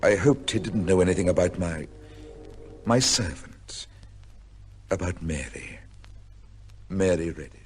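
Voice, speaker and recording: An actor playing a proud, severe middle-aged judge with a secret life, speaking formally in an old-time radio drama. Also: male voice, deep voice, a little mellow, soft background music. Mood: grave, brooding. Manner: formal, measured, pompous. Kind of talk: old-time radio drama acting, with first-person narration.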